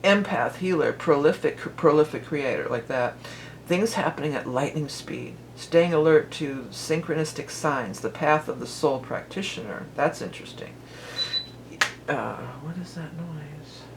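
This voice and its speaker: A woman with a lowered voice, doing a chatty, rambling tarot reading for her vlog, full of fillers and restarts. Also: measured pace, a little hiss or hum, poor audio. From Cleveland, Ohio. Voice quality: lower voice than she once had. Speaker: tarot reader and musician.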